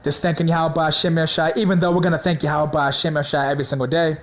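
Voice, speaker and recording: A man preaching in a casual, slangy way, hoarse from a sore throat, excited and repeating words for emphasis. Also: fairly high pitch, quick pace. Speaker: religious video host.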